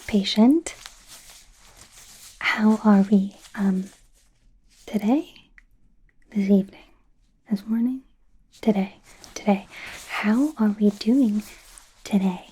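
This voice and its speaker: A woman voicing a nervous, awkward young female werewolf medical student in a halting, breathy, mostly whispered ASMR roleplay. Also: medium pitch, dark tone, poor audio. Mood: nervous, apologetic. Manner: flustered, halting, apologetic, self-coaching mumbles.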